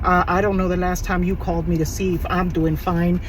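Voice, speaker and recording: A soft-voiced woman, a notary business owner, giving a frank and preachy personal rant with many restarts and fillers. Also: lowish voice, flat delivery, brisk. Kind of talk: candid personal rant to camera. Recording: loud vehicle and street noise.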